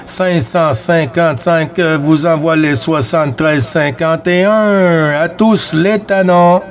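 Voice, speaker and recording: A man's voice: on the high side, takes it slowly, crowd noise. A man with a Quebec French accent making casual CB radio contact calls, repeating friendly greetings and call signs. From Quebec, Canada. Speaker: CB radio operator. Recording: very noisy radio with static and clicks.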